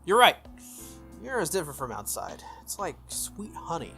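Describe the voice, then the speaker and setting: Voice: medium pitch, wildly swooping pitch, normal speed, vocal fry, sharp tone, full character voice. A let's-play reader voicing an earnest, puzzled teenage schoolboy hero from a visual novel, blunt and reluctant. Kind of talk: visual novel dialogue read aloud. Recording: music under the voice.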